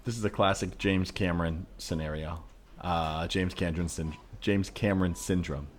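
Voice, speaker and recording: Man as a low-voiced, hesitant, earnest doctor. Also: steady tone, normal speed, a little hiss or hum, close to an everyday voice. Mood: earnest explaining. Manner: hesitant, explanatory. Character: earnest, unflappable male psychiatric doctor. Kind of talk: improvised audio comedy sketch.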